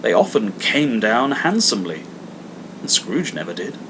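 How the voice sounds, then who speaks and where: A man narrating a classic story theatrically, with dramatic, emphatic phrasing and steady background noise. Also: normal speed. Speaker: audiobook reader. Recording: clear background noise, low rumble.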